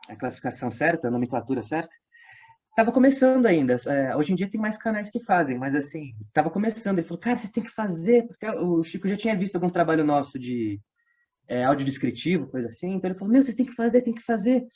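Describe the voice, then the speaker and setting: Slightly high-voiced Brazilian man, lo-fi audio. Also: fast. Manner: animated storytelling, acting out quoted remarks in slangy speech. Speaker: guest, audio drama writer and voice-dubbing worker. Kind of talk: casual interview chat.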